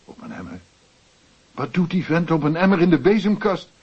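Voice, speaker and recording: Animated, husky night porter. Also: male voice, fairly high pitch, moderate pace. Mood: puzzled, amused. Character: chatty, teasing night porter at an office switchboard. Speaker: actor. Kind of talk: radio play acting. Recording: muffled radio play recording.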